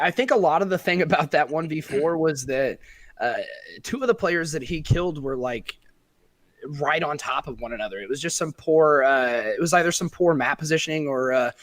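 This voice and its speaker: A man commentating an esports match, chatty and analytical, often pausing on fillers. His voice sits a little high, and the sound is close and dry with no room around it. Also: a bit bright, dry recording. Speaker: esports caster.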